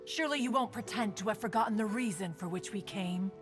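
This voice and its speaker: A woman's voice: medium pitch, fast, natural voice. An actress voicing a haughty female adeptus in a game cutscene, cold and disdainful. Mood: scornful. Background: music.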